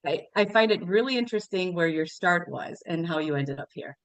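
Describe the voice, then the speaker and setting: Host woman, effusive and upbeat, lowish voice, dry close recording. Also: animated, fairly quick, no echo, poor audio. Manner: enthusiastic, effusive praise. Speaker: podcast host. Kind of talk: interview questions.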